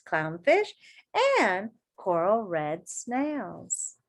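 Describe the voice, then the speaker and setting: A woman with a fairly high voice reads a picture book aloud at a very unhurried pace. She is expressive and deliberate, like a primary school teacher. She often steps out of the text to speak encouragingly and directly to her young listeners. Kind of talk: children's story read-aloud with asides to listeners.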